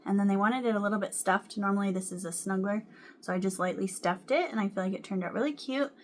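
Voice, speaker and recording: A young woman talks at home in an easy, chatty way about what she has been crocheting. Her speech is loose and conversational, full of ums and little laughs, with a voice of ordinary middle pitch. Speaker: crochet vlogger. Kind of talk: casual chat to camera.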